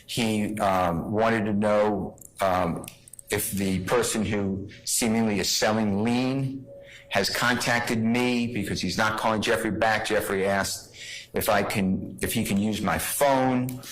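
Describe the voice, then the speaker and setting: A man with a fairly low voice speaks as a defense attorney in court. He lays out a legal argument step by step, in a halting, explanatory manner. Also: fairly even intonation, rough recording.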